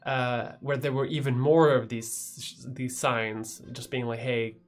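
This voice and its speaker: A woman's voice: a bass voice, flat delivery, takes it slowly. Rambling Swedish-accented woman streamer. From Sweden. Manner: rambling, swears casually, frequent restarts and laughs. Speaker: YouTuber hosting a livestream. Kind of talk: casual livestream chat reading chat questions.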